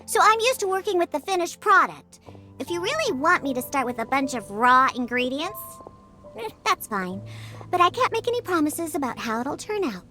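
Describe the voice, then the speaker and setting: Prickly proud game kid, high bright voice, huffy and emphatic. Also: female voice, very animated, normal speed, faint music behind, heavily acted. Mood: grudging. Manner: haughty, grudging, emphatic. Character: proud, prickly young girl, secretly soft-hearted. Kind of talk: video game voice acting.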